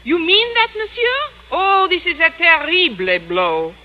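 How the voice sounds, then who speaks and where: A woman's voice: very high voice, wildly swooping pitch, a little slow, exaggerated voice. A woman playing a schoolteacher posing as a mock-grand, wisecracking Frenchwoman with a put-on French accent, in 1950s radio comedy style. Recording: noisy muffled old radio broadcast recording.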